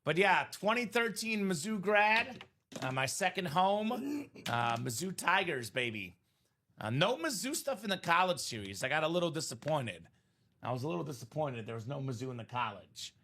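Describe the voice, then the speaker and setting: Male card break host, thirties, slightly high, bright voice, friendly sales patter. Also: big pitch swings, normal speed, slight background noise. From Chicagoland area, born in Bulgaria. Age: 30s. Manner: chatty, salesy, addresses viewers by name. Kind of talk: casual live-stream chat.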